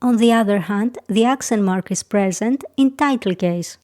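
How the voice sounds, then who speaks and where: A woman who grew up speaking Greek, with a Greek accent, narrating a scripted beginner lesson in a slow, careful, clearly enunciated way. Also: mid-range voice, warm tone. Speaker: language teacher.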